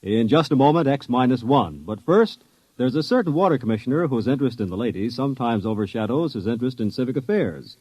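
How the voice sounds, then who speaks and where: A man's voice: medium pitch, lively intonation, fast, darkish tone, husky, dry recording. An American man working as a network announcer, reading a promo in 1950s radio style. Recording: dull, dry vintage radio recording.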